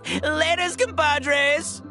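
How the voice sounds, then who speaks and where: A man voicing a brash, boastful young oni gang boss in an anime game, loud, slangy and goofy, with music behind him. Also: very high-pitched, very slow, creaky, full character voice. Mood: cocky. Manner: swaggering, slangy, bombastic. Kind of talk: anime video game voice acting.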